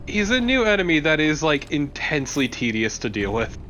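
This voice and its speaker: A man's voice: a little high, sing-song, a little fast, bright, forceful tone, lo-fi sound, a little hiss or hum. A man, a young adult, chatting casually as co-commentator over gameplay, conversational and swearing freely. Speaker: co-commentator on a let's play.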